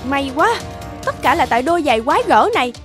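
A woman's voice: high voice, sing-song, quick pace. A woman voicing a strict, proper older adoptive mother in a cartoon fairy tale, admonishing. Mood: scolding, alarmed. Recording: music behind the voice.

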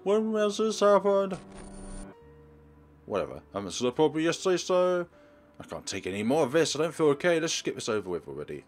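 A man's voice: on the high side, wildly swooping pitch, brisk, pitched above the performer's own voice. A man voicing a troubled, frightened teenage schoolgirl, reading a visual novel in a dramatic, anxious way. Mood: distressed. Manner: dramatic, anxious read-aloud.

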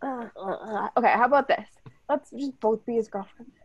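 A woman acting a brash female ex-con in a comedy read. Her voice sits a little high and is recorded dry. She is a wheedling schemer with a mouth on her. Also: moderate pace, slightly rough, no echo, dull audio. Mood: reluctant.

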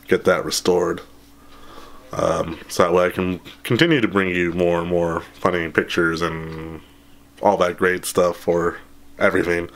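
Deep-voiced man, slightly hoarse. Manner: relaxed, rambling, lots of ums and laughs. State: slightly hoarse after being sick. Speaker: channel host. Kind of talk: casual update vlog. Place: small room indoors. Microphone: handheld camera at arm's length.

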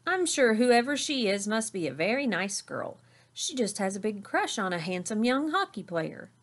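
A woman voicing a kindly, reassuring father bear from a children's picture book in an expressive way. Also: medium pitch, moderate pace.

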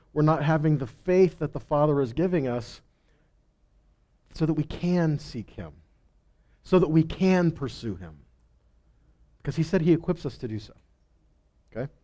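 Male preacher, middle-aged, warm dark timbre, emphatic teaching with rhetorical questions, headset mic. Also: fairly high pitch. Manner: emphatic, rhetorical questions, stresses key words. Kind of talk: sermon, conversational teaching. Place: stage in a congregation hall.

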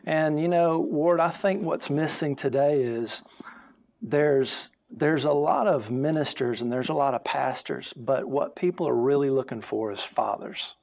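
Gravelly pastor, a man. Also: on the high side, measured pace, a little mellow. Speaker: pastor, programme guest. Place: TV studio, seated on a sofa. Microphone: lapel mic.

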